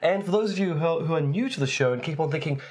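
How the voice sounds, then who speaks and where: A man co-hosting a podcast, his voice husky from laryngitis with vocal fry, trading dry teasing banter. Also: a little high, animated, rapid-fire, slight background noise. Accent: New Zealand. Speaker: podcast co-host. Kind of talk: casual chat.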